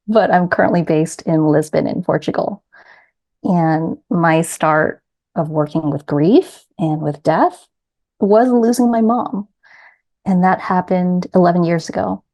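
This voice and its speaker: A middle-aged woman with a New York accent, a grief coach guest telling her story in an earnest, measured way. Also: lowish voice, dark tone. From New York City. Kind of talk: reflective personal storytelling.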